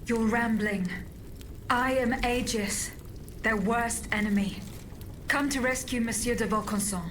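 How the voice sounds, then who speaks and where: A woman's voice: a little high, unhurried, fairly bright tone, a little hiss or hum. A woman playing a composed, resolute automaton bodyguard in a video game, with formal, earnest delivery. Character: composed, resolute female automaton bodyguard, gentle but firm. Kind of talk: dramatic video game cutscene acting.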